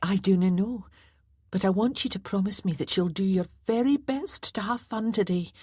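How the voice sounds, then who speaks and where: Woman playing a soft-spoken mum, loving but firm, slightly husky, dry sound. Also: medium pitch, normal speed, dry recording, voice pushed up, natural voice. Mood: tender. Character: tender young Scottish mother, loving but firm.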